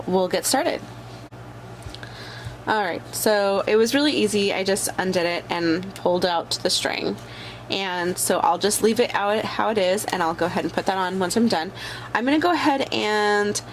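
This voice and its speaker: A woman's voice: lowish voice, a little hiss or hum, poor audio. Chatty woman with a bad cold. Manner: chatty, many um fillers. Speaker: crafting channel host. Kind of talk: casual crafting tutorial.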